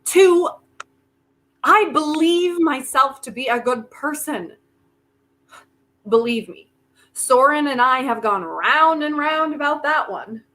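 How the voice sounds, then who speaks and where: A woman's voice: high voice, lively intonation, slow, pauses often, fairly bright tone, full character voice. A woman in a staged play reading, playing an arrogant, defiant inventor with a sardonic, theatrical manner. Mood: commanding, intense. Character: arrogant, brilliant, defiant inventor bargaining with Death.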